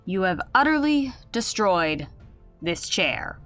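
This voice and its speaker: A woman's voice: medium pitch, big pitch swings, takes it slowly, fairly bright tone, faint music behind. A woman playing a wry game master who explains and narrates a comedic tabletop game in an audio drama. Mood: narrating, patient.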